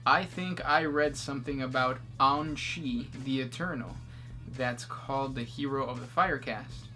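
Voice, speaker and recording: A man's voice: medium pitch, measured pace, bright, forceful tone, soft background music. A man co-hosting a casual chat, reading out fan questions with easygoing fillers. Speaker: podcast co-host reading questions.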